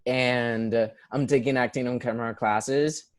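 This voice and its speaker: A young man speaks with a Puerto Rican accent, earnest and conversational, circling back with restarts and fillers. His voice sits in an ordinary middle pitch. The sound is dry, with hardly any room behind it. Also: fairly even intonation, moderate pace, smooth, dry recording. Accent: Puerto Rican Spanish. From Puerto Rico. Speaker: guest, musical theater performer. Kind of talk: casual conversational interview.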